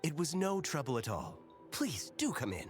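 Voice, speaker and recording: A man playing a courteous, deferential gentleman attendant in a video game, speaking formally and obligingly. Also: a little high, huge pitch swings, moderate pace. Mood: polite, obliging. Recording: faint music behind.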